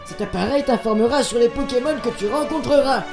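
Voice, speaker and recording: A man's voice: very high voice, fairly quick, vocal fry, voice pushed up. A man voicing a grumpy, easily flustered old scientist in a cartoon. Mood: exasperated. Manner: flustered, exasperated, cursing. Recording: echoey, music behind.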